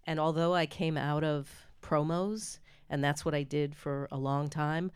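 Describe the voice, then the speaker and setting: Smooth, low-voiced woman panelist. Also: flat delivery, a little slow, darkish tone. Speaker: television editor on a panel. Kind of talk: panel discussion.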